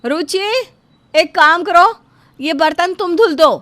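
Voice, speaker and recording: A woman's voice: very high voice, slow, a bit bright, no echo, voice pushed up, heavily acted. A woman voicing a haughty, bickering elder daughter-in-law in a household story, boastful and theatrical. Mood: loud, bossy. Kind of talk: animated Hindi moral story dubbing.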